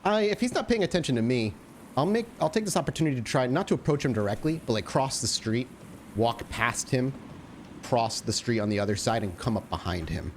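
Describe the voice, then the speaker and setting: A man's voice: medium pitch, lively intonation, fairly quick, slight background noise. A man chatting informally and with some swearing as a player in an improvised tabletop roleplaying game.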